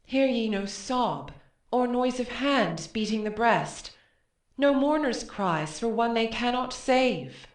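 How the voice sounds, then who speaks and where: A fearful, questioning chorus woman played by an actress speaks solemn tragic verse. Her fairly high voice moves widely in pitch at a very slow pace, with strong echo. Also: expressive. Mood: anxious. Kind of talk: verse stage drama. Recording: echoey room.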